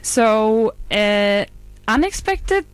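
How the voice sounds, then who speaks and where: A woman's voice: fairly high pitch, drawn-out words, smooth, no echo. Laid-back woman cohost on a radio show, lots of ums, dry studio. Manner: relaxed, hesitant with fillers. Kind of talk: casual chat.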